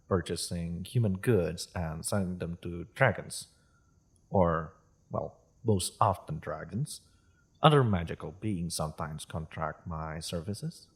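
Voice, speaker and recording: A man playing a courteous, wry half-dragon merchant in a fantasy audio roleplay, speaking in a formal, measured, amused manner. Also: deep voice, breathy edge, full character voice. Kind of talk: scripted fantasy audio roleplay addressed to listener.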